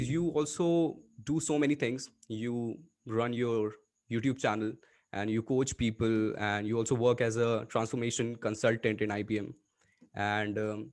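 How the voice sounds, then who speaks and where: A man whose first language is Hindi, hosting a podcast and asking questions hesitantly, with many fillers. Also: medium pitch. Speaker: podcast host. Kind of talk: casual podcast interview.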